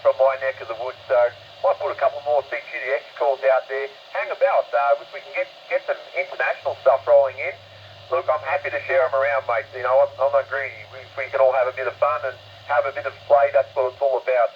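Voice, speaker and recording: Over a dull shortwave link with faint noise, an Australian man chats as a ham radio operator. He has a mid-pitched voice and rambles along in a matey, easygoing way. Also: flat delivery, quick pace. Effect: received over shortwave radio. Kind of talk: casual ham radio chat.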